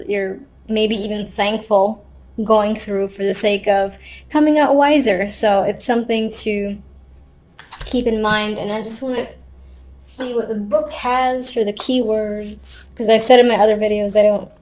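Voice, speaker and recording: A woman's voice: medium pitch, a little mellow. A woman giving a casual, unscripted tarot reading, thinking aloud conversationally with wry asides. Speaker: tarot card reader.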